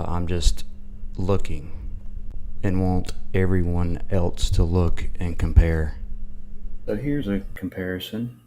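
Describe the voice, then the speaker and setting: A man giving casual, unscripted commentary in a musing, halting way, often posing rhetorical questions. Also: sits low, mellow, slight background noise. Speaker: video narrator. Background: new-age music.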